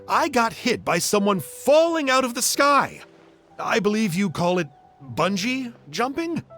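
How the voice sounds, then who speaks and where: A man's voice: high-pitched, a bit bright, exaggerated voice. A man voicing a playful, self-dramatizing storytelling uncle who coaxes children theatrically in an anime game. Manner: theatrical, coaxing.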